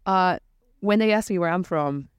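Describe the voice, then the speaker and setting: A woman from Brazil, co-hosting a casual chat about bartending, animated and quick to act out customers' lines. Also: fairly low pitch, clean tone, no echo, clean studio sound. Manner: animated, acts out customers' questions with laughs. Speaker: podcast co-host, bartender.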